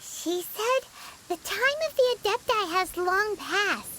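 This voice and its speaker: A woman voicing a tiny floating fairy girl companion who is chatty and excitable, talks about herself in the third person and is blunt and nosy. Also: extremely high pitch, slow, background noise, heavily acted. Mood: gossipy. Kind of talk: video game voice acting.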